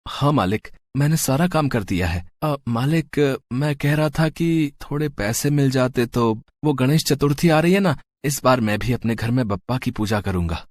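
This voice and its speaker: A man's voice: mid-range voice, lively intonation, normal speed, hardly any pauses, no room sound, lightly acted. A man voicing a poor, humble, devout servant in a cartoon moral story, speaking hesitantly and deferentially. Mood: timid, pleading.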